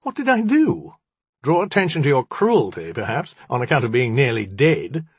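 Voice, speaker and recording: A man's voice: mid-range voice, sing-song, moderate pace, slightly rough, no echo, voice pushed up. A man voicing a sardonic, defiant jinni in the form of a lioness, with sarcastic, colloquial dialogue in an audiobook. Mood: indignant. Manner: sarcastic, colloquial, self-justifying.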